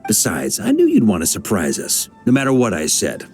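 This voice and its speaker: A man's voice: on the low side, wildly swooping pitch, normal speed, soft background music. A man playing an easygoing, roguish grandmaster of knights in a video game, with a laid-back, teasing manner. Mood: relaxed, reassuring.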